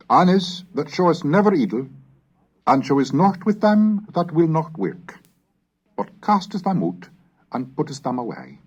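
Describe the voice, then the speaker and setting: Scholarly man reading, with a lively, swooping pitch. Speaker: reader and lecturer of a recorded language course. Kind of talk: scripted narration and dramatic reading of Middle English texts. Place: recorded narration on cassette. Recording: dull, lo-fi 1970s cassette recording.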